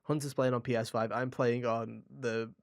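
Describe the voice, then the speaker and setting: A man's voice: mid-range voice, level tone, moderate pace, gravelly. Rambling, informal male podcast host. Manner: rambling, informal, addresses listeners directly. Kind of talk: casual video game podcast chat.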